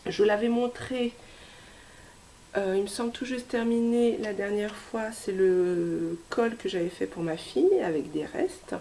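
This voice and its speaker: Hoarse woman chatting about her knitting. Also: medium pitch, measured pace, a little hiss or hum. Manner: chatty, many hesitations and soft asides. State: hoarse from a cold. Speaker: knitting podcast host. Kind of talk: casual knitting vlog.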